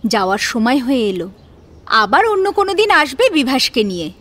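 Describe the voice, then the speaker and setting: High-pitched female story narrator. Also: very animated, moderate pace, fairly bright tone. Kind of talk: scripted dramatic story narration.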